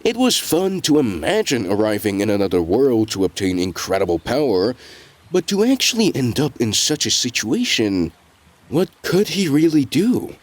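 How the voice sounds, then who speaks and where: A male narrator with a medium-pitched voice reads a scripted tale. His intonation moves widely, while the phrasing stays measured, with deliberate dramatic pauses. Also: wildly swooping pitch.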